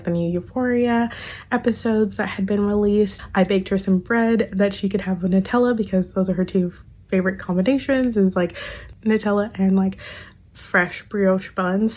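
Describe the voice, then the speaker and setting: A young woman, chatting casually about her monthly favorites, gushing and filler-heavy, her voice worn and hoarse. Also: medium pitch, darkish tone. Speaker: vlogger.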